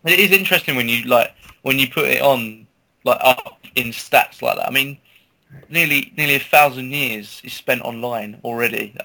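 Guy on a gaming podcast, bright forceful voice, opinionated, casual. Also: mid-range voice, normal speed, gravelly, poor audio. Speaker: podcast co-host.

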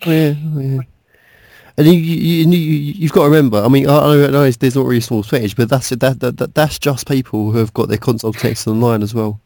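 A man's voice: mid-range voice, steady tone, quick pace, warm tone, dry recording. A man co-hosting a podcast with casual, jokey banter, often repeating words in stammering bursts. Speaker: podcast co-host.

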